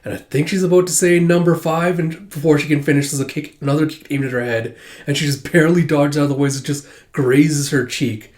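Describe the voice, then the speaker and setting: A man with a cold and a sore, stuffy throat recapping a manga chapter casually, halting often with filler sounds. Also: a little high, a little mellow. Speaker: manga reviewer.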